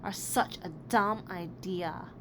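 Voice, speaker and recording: A woman's voice: lowish voice, fairly even intonation, takes it slowly. Woman voicing a bossy, grumbling big sister. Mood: bored, grumbling. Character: bossy, bored, grumbling older sister, a girl. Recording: clearly noisy background.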